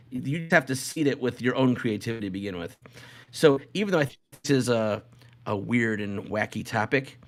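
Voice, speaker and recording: A middle-aged man with an ordinary mid-pitched voice talks as a podcast co-host. He rambles casually, hesitating and repeating phrases as he circles toward his point.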